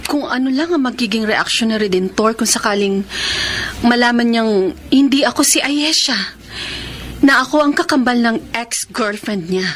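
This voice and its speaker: A woman's voice: on the high side, normal speed, a bit bright, slight background noise, lightly acted. A woman playing a conscience-torn young woman with a secret in a Filipino radio romance drama. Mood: guilty, confessing. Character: conscience-torn young woman posing as her dead twin.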